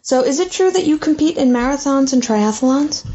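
A woman's voice: high voice, animated, moderate pace, dark tone, slight background noise. A woman hosting a podcast interview, reading a formal introduction and then asking short prompts. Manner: reads introduction, then brief encouraging prompts. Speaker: podcast host. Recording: muffled lo-fi audio.

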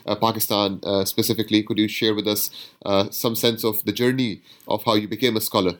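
A man's voice: lowish voice, level tone, fairly quick, a bit bright, no echo. A man hosting an academic book podcast, reading a formal introduction and then asking questions with many uhs. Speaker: podcast host, interviewer.

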